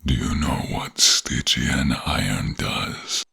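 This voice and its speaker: Cold, husky-voiced tyrant king. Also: bright, forceful tone, in a whisper, exaggerated voice. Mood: commanding threat. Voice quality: deep, husky, breathy, gravelly. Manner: commanding, deliberate, threatening. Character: cold, imperious, cruel fantasy king. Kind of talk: fantasy audio role-play acting.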